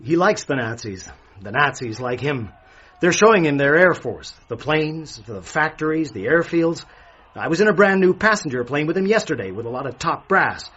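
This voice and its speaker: An actor playing an urgent, wry newspaper reporter in a radio drama, earnest and insistent with dry sarcasm. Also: male voice, a little high, sing-song, moderate pace, a bit bright, breathy edge, exaggerated voice. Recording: dull, faintly noisy radio recording.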